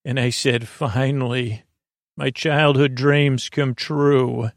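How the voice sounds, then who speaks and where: A man hosting a bedtime podcast in a meandering, hesitant monologue that drifts off topic, with a gravelly, creaky voice. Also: medium pitch, steady tone, slow pace, no room sound, clean studio sound. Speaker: podcast host.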